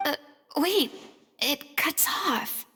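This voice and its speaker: A woman's voice: high-pitched, lively intonation, drawn-out words, sharp tone, full character voice. A woman playing an imperious, theatrical young woman in a video game, stern and decisive in manner. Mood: grave, thoughtful. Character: imperious, sharp-minded young woman taking charge of a troupe. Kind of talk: English video game voice acting. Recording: game music behind the voice.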